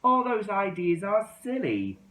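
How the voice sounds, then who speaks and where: Bossy storybook badger, played by a man, swooping pitch, party-mad and sure of himself, roomy sound. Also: wildly swooping pitch, measured pace, bright, forceful tone, full character voice. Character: bossy male badger, keen on city parties.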